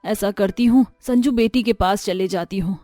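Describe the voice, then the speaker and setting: A woman's voice: medium pitch, normal speed, mellow, no room sound, soft background music, lightly acted. Woman acting a plaintive, melodramatic middle-aged stepmother. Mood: weary. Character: harsh, scheming, later remorseful middle-aged stepmother.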